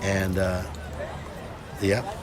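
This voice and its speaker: A middle-aged man hosting a casual livestream of a football scrimmage, loud, talkative and joking. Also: deep voice, level tone, drawn-out words. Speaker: livestream sports host. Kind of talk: casual sports commentary chat. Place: outdoors at a football field. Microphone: phone camera. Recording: crowd noise at outdoor game. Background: crowd at a game.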